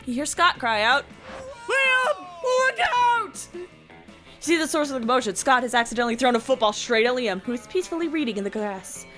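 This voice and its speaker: A woman's voice: high voice, very animated, brisk, over music. A woman in casual, upbeat gaming banter, giggly. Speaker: let's play co-host.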